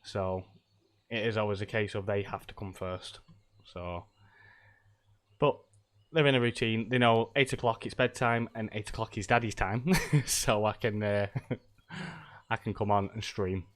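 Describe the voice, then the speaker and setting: A man's voice: lowish voice, measured pace, long pauses. A middle-aged man, stuffy from a cold, chatting casually and rambling with lots of ums and laughs while live-streaming a game.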